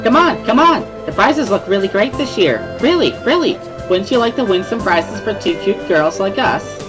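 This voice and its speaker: A woman voicing a bubbly, excitable, teasing teenage schoolgirl in an amateur visual novel reading. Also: mid-range voice, moderate pace, music in the background, natural voice, poor audio. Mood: excited, coaxing. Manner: playful, exclamatory, repeats words, laughs loudly.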